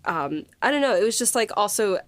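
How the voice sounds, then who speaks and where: A woman's voice: mid-range voice, lively intonation, brisk, dry recording. A woman hosting a casual panel chat, chatty and effusive, often feeling her way through a thought. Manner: chatty, hesitant, effusive in praise. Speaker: podcast host and series writer.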